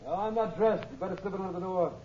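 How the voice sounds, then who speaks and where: An actor playing a desperate, cornered male killer in a 1950s radio drama, with a husky voice. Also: high voice, racing, lightly acted. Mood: wary. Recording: dull old radio transcription.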